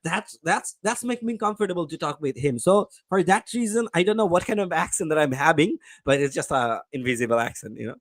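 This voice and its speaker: Animated man from Bangladesh, dry sound. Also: on the high side, brisk, hardly any pauses, dry recording. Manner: animated, stumbling restarts. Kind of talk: casual live-stream chat.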